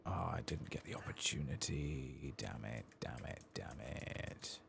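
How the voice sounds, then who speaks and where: A man giving soft-spoken ASMR commentary over a video game, with understated asides to himself, close to the microphone. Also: very deep voice, expressive, a little slow, vocal fry, noisy background.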